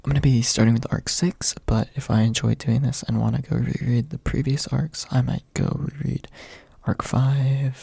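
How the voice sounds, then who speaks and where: Hushed, creaky-voiced young man reading aloud. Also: mid-range voice, dry recording. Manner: soft-spoken, hushed late at night. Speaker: amateur audiobook reader. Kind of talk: ASMR, quiet storybook narration. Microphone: close USB microphone without pop filter.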